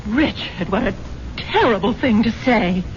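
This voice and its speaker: Actress as genteel rich American wife, muffled recording. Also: female voice, mid-range voice, animated, a little slow, lightly acted. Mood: indignant. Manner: genteel, earnest. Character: rich, credulous, genteel wife, strong-willed when crossed. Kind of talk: 1950s radio drama acting. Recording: dull vintage radio recording, faint noise.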